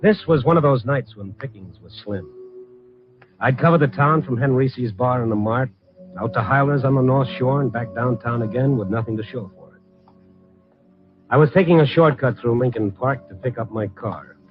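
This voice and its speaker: A man's voice: medium pitch, moderate pace, lightly acted. Hard-boiled American night columnist played by a man, wry and dramatic, muffled vintage radio sound with faint music. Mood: brooding, wry. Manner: wry, streetwise, dramatic storytelling. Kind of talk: 1950s radio drama narration.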